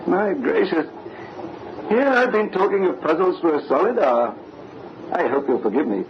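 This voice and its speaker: An actor playing a courtly, enigmatic older father, speaking in a measured, formal way in an old-time radio drama. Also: high-pitched, animated. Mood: philosophical. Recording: muffled, noisy old radio recording.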